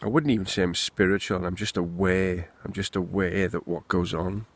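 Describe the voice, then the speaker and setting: Low gravelly Welsh man, slow. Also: fairly even intonation. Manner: rambling, candid, swearing freely. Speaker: vlogger. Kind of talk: unscripted monologue to camera. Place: outdoors in the wind.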